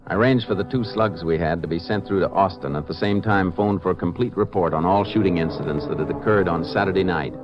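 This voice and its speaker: An actor playing a steady, terse Texas Ranger investigator in a 1950s western radio drama, speaking matter-of-factly. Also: male voice, sits low, fast, hardly any pauses, over music, close to an everyday voice. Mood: businesslike. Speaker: lead actor and narrator. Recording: dull vintage 1950s radio recording.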